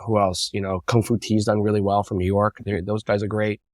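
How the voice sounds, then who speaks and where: Lively storytelling man, dry sound. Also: on the low side, brisk, no echo. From United States. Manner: animated anecdotes with acted quotes. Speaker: interview guest, bubble tea entrepreneur. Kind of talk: casual storytelling in an interview.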